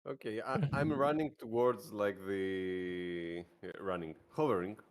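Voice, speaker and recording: A man with a lowish voice plays a tabletop game. He talks casually, with a light joking manner, as he works out his moves aloud. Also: a little slow. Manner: jokey, laughs often.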